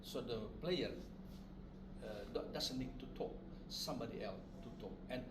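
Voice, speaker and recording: A man's voice: mid-range voice, normal speed. Indonesian man demonstrating, distant in noisy room. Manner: short phrases in simple non-native English. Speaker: puppet maker giving a demonstration. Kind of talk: informal craft demonstration to visitors. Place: roomy puppet studio. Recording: roomy, distant mic, loud background noise.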